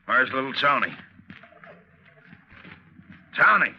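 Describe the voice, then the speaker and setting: An actor playing a gruff, domineering mutinous sailor in a 1940s radio drama, curt and commanding. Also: male voice, mid-range voice, sing-song, slow pace, full character voice. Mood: grim, commanding. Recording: dull lo-fi 1940s radio recording.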